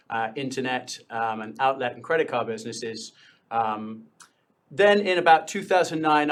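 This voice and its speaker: A man, raised in London, speaking earnestly as a town board candidate at a local debate, with frequent fillers. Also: medium pitch. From born in India, grew up in London. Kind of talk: debate answers.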